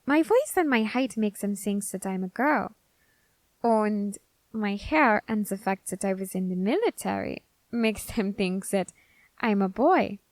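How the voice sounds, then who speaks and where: A woman voicing a curious, androgynous grim reaper in an ASMR roleplay who questions a captive demon in a slow, teasing, softly whispered way. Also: medium pitch, lively intonation, no echo.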